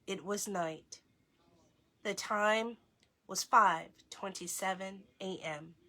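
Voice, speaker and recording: A woman reading a children's story aloud as host, in an expressive storytelling style. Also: fairly high pitch.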